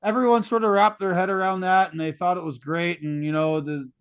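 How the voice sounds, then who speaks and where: A man's voice: high-pitched, steady tone, fairly quick, clean tone, no room sound, clean studio sound. A middle-aged man hosting a podcast, talking in an opinionated, rambling and sarcastic way. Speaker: podcast host. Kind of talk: casual podcast conversation.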